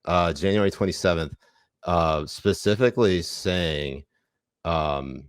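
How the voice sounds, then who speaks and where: A man co-hosting a political podcast, his voice very low and deep. He talks in a wry, profane way, stumbling through fillers and restarts. The sound is dry and close. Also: slow pace, clear, resonant tone, dry recording. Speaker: podcast co-host. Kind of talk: casual political podcast chat.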